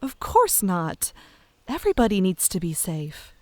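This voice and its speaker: A woman's voice: medium pitch, huge pitch swings, unhurried, darkish tone, no echo. Lady as young fantasy princess, caring and decisive, bouncy intonation, dry sound, audio drama. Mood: affectionate.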